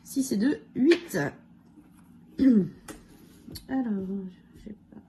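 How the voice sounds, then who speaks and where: A woman with a cold and a hoarse voice, casually going through her budget challenges and counting money aloud with lots of fillers. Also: high voice, expressive, drawn-out words, warm tone, slight background noise. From France. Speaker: budget vlogger. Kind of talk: casual budget review talk.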